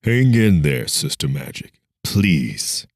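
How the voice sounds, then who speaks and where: A man voicing a grieving male superhero with a mystic air, speaking gently and sorrowfully in an audiobook story. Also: extremely low pitch, big pitch swings, slow pace, no echo, studio quality, exaggerated voice. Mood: pleading sadness.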